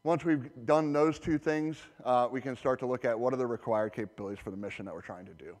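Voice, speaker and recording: A man gives a technical talk to a conference audience. His delivery is relaxed and conversational, full of fillers and small restarts. His voice sits mid-range, with a long reverberant tail from a large hall. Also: medium pitch, quick pace. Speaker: conference presenter, security architect.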